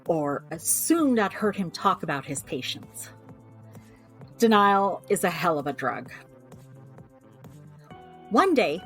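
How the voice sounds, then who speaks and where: A young American woman playing a wry, candid young witch healer, confiding her story in a conversational audio drama narration. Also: fairly low pitch. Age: young adult. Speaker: lead actor and narrator.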